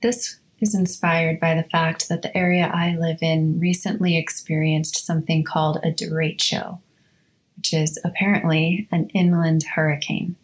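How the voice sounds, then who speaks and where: A woman hosting a guided meditation, speaking in a soothing, measured way with long pauses between short phrases. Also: deep voice, low-quality recording. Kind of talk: slow guided meditation narration. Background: instrumental music.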